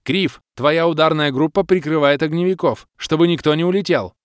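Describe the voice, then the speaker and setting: A man voicing a fierce woman clan leader in a fantasy audiobook, barking crisp battle orders. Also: fairly high pitch, normal speed, no room sound, clean studio sound, pitched above the performer's own voice, natural voice. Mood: firm, commanding.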